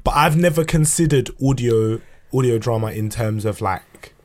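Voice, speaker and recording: A man's voice: medium pitch, normal speed. A man with a London accent co-hosting a podcast in casual, slangy banter. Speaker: podcast co-host.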